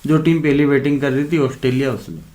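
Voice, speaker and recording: A man's voice: mid-range voice, steady tone, fast, darkish tone. A man with a sore throat and a cough, talking casually straight to his viewers as a fantasy cricket tipster, calling them brothers and checking in with "okay" again and again. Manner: chatty, keeps addressing viewers as brothers, repeats "okay". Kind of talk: casual direct-to-camera vlog.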